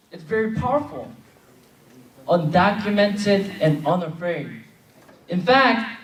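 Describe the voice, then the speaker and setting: A young man in his twenties, from South Korea, moderating a convention plenary and leading chants from the stage. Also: high-pitched. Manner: leads call-and-response chants. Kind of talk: convention speech. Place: large convention hall with audience. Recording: echoey hall, distant mic, crowd noise.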